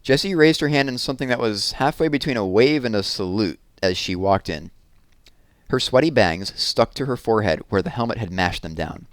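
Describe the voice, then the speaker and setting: A man reading a children's novel aloud in an expressive storytelling style. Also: on the low side, fairly quick, dry recording, clean studio sound.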